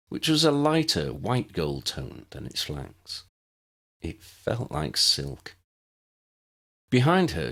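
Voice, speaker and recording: Male narrator for story audiobook, deep register, lively and varied melody.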